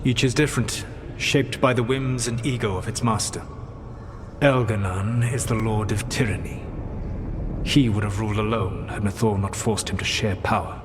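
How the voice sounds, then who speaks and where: An actor playing an ancient elven mage in a fantasy game, speaking in a measured, formal, solemn and regretful manner. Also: male voice, lowish voice, background noise, lightly acted. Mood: grave, scornful. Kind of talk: scripted fantasy game dialogue.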